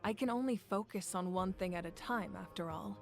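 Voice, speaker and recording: A solemn, commanding female ruler in a video game cutscene, acted by a woman. The mid-pitched voice is measured and formal, gracious yet authoritative, with clear music behind it. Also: close to an everyday voice. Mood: supportive, steady.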